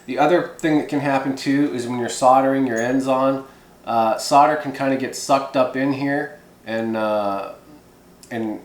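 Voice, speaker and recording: A man's voice: medium pitch, slight background noise. A man hosting a hands-on how-to video in casual, folksy, rambling talk. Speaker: host of a hobby how-to video. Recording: echoey room.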